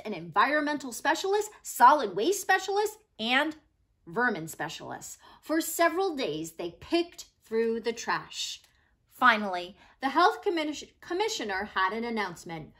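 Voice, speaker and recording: Woman, high voice, very lively intonation, expressive read-aloud storytelling, dramatic emphasis. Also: measured pace. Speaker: reader of a children's non-fiction book.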